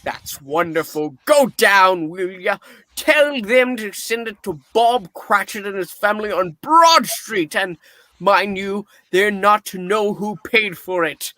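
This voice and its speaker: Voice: mid-range voice, expressive, slow pace, bright, forceful tone, no room sound. A performer playing a giddy, newly reformed old miser in a radio play reading, effusive and theatrical. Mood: giddy, kindly.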